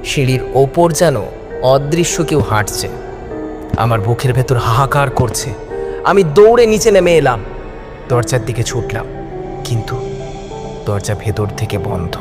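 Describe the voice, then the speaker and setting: A man's voice: mid-range voice, big pitch swings, unhurried, pauses often. A man narrating a first-person horror story in a suspenseful, theatrical style. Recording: music behind the voice. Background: background music.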